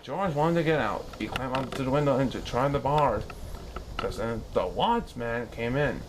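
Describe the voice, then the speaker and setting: A man reading a children's story aloud in an expressive, animated style with dramatic pauses. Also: mid-range voice, background noise.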